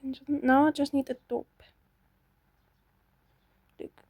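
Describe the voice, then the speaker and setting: A woman's voice: high-pitched, little pitch movement, unhurried, darkish tone, no room sound. A young woman chatting casually to herself while playing a game, self-doubting and talkative. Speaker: gaming channel host. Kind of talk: casual gameplay commentary.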